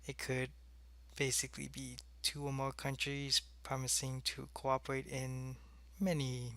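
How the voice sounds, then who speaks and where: Alien field agent, male actor: earnest, matter-of-fact briefer, creaky edge, very dry close sound, sci-fi roleplay. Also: medium pitch, fairly bright tone, dry recording. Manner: explanatory, measured, matter-of-fact. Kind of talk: scripted sci-fi audio roleplay.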